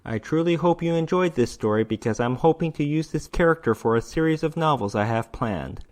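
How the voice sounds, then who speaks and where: A man's voice: a little high, animated, fairly quick. A man reading a short story aloud as dramatic scripted narration, in the role of storyteller.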